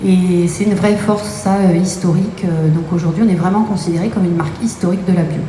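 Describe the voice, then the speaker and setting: A woman's voice: lowish voice, fairly even intonation, fairly quick, warm tone. A woman from Brittany, a company co-owner, answering interview questions with many hesitations. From Brittany, France. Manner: explanatory, many hesitation fillers. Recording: echoey room with faint noise.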